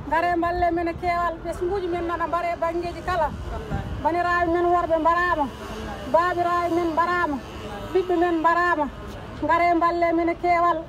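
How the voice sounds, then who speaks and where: A woman giving a rallying speech at a protest, exhorting people with repeated phrases. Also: very high voice. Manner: exhorting, repetitive. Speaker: protest speaker. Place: outdoors at a street demonstration. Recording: noisy outdoor crowd, street traffic. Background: crowd and vehicle noise.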